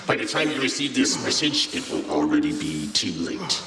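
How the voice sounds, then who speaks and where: A man's voice: sing-song, fairly quick, over a noisy crowd. A man playing a sneering, imperious blue alien invader in a fan fiction audio drama, with a menacing, taunting delivery. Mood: ominous gloating. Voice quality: menacing, booming. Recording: echoey long reverb, music behind.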